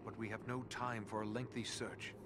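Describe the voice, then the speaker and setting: An actor playing a practical, impatient adventurer in a fantasy game, with a dry, pointed, formal way of talking. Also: male voice, fairly low pitch, flat delivery, moderate pace, heavy background noise, natural voice. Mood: dry impatience.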